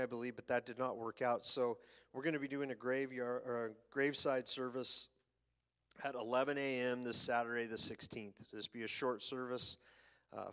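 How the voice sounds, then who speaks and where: A man pastor giving church announcements from a stage, halting with many fillers. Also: mid-range voice, fairly bright tone, clear, resonant tone. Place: church stage, large room. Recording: long hall reverb.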